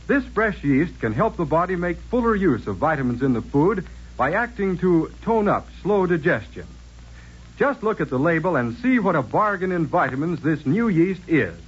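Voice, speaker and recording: A man's voice: fairly high pitch, very animated, moderate pace, husky. A man with an American accent reading a script as an announcer and narrator in 1940s radio style. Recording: muffled old-time radio recording.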